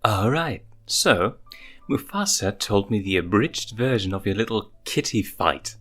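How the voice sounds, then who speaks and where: A man's voice: fairly low pitch, big pitch swings, unhurried, a bit bright, faint music behind, heavily acted. A man voicing a smug, teasing young lioness who bosses cubs around, in a storybook reading. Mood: smug, amused. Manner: teasing, commanding. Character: smug, teasing young adult lioness cub-sitter, bossy.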